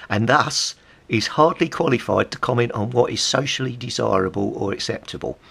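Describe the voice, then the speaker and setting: A man's voice: medium pitch, creaky, fairly bright tone, slightly rough. A middle-aged man with an English accent, chatting casually as he reads bits aloud, with wry, amused asides. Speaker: vlogger, CB radio hobbyist. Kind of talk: casual commentary while leafing through old magazines, reading bits aloud.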